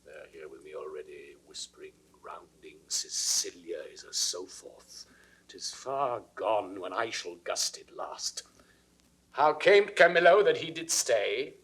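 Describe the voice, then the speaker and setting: A man's voice: fairly low pitch, big pitch swings, a little slow, fairly bright tone, rough recording. An actor playing a jealous, suspicious king with a British accent, in theatrical classical Shakespearean style for an audio drama. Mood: brooding suspicion. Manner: theatrical, crisply declaimed, dropping into whispers. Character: jealous, suspicious king brooding over his wife's imagined betrayal. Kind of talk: classical Shakespearean stage acting, 1960s audio recording.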